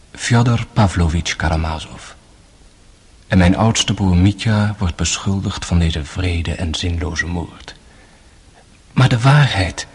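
An actor playing an earnest, sorrowful young Russian former novice monk, narrating a radio drama in a solemn, measured way. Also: male voice, lowish voice, darkish tone, husky, heavily acted.

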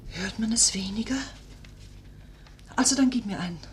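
A woman's voice: mid-range voice, normal speed, close to an everyday voice. A woman playing an anxious, God-fearing wife in a German radio play, earnest and hesitant with guilt. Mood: prim. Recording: faint background noise.

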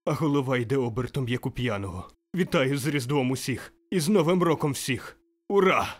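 A man's voice: mid-range voice, sing-song, a little fast, pitched above the performer's own voice. A man voicing an old miser turned overjoyed and giddy, exclaiming breathlessly in an audiobook. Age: older. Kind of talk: audiobook character voice.

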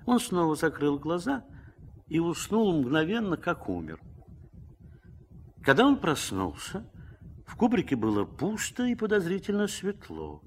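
A man's voice: a little high, huge pitch swings, a little slow, long pauses, a little hiss or hum. A man narrating a Russian radio play in a scripted storytelling style.

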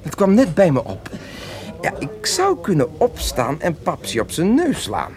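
Cocky, dreamy young beatnik poet, lively delivery. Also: male voice, sits high, measured pace, full character voice. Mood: provocative. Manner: theatrical, mocking, languid drawl with sudden outbursts. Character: cocky, lovesick, marijuana-smoking self-styled beatnik poet, young man. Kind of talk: radio play acting.

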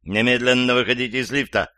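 A man's voice: mid-range voice, fairly even intonation, fast, bright, forceful tone, dry recording, clean studio sound, lightly acted. A man reading the part of a commanding police major who gives terse instructions in an audiobook. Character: commanding male police major directing an agent by earpiece.

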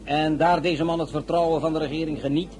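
Diplomatic male minister played by an actor, slightly high voice, formal, measured radio-drama delivery. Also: little pitch movement, a crowd in the background, lightly acted.

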